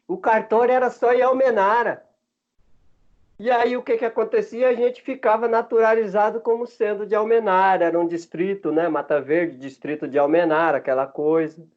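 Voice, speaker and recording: A man's voice: high-pitched, steady tone. Chatty Minas Gerais man in his fifties. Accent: Minas Gerais Brazilian Portuguese. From Minas Gerais, Brazil. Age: 50s. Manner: chatty, laughs often, halting restarts. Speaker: former footballer, guest. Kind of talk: relaxed storytelling interview. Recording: dull lo-fi sound.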